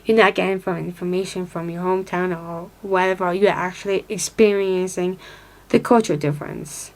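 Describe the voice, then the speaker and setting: A woman's voice: lowish voice, steady tone, normal speed, low-quality recording. A woman in her twenties from upstate New York with an American accent, casually talking to camera, rambling with lots of fillers and restarts while glancing at notes. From upstate New York, United States. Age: 20s. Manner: reads from notes, looking down. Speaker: vlogger.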